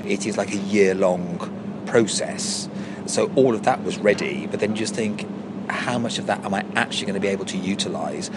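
A middle-aged English man chatting excitedly and emphatically as a pantomime performer being interviewed on location. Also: fairly low pitch, fairly quick. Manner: effusive, excitable, emphatic repetition. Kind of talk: casual on-location interview chat. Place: inside a large tent, on location. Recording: clear outdoor-like ambient and traffic noise.

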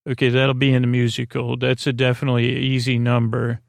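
A man hosting a sleep podcast, rambling in a whimsical, hesitant bedtime monologue with stammered false starts and a creaky, gravelly voice. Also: medium pitch, monotone, fairly quick, no echo, studio quality.

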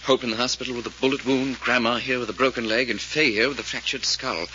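An actor playing a troubled, earnest detective colleague with a tense manner in an old-time radio drama. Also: male voice, mid-range voice, fairly even intonation, quick pace, bright, forceful tone, lightly acted. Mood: tense, grim. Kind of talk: 1940s radio drama acting. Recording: muffled old radio recording, faint noise.

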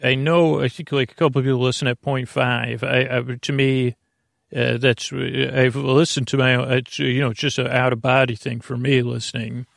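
A man hosting a bedtime podcast, rambling through an intro in a creaky voice with a meandering, halting, muttering delivery. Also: medium pitch, little pitch movement, dry recording, clean studio sound. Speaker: podcast host.

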